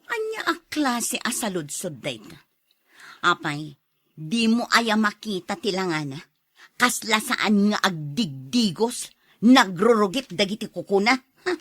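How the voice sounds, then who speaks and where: A woman's voice: mid-range voice, sing-song, a little slow, a bit bright, slightly rough, no echo, studio quality, full character voice. A woman playing a picky, irritable single schoolteacher in a Philippine radio drama, curt and scornful.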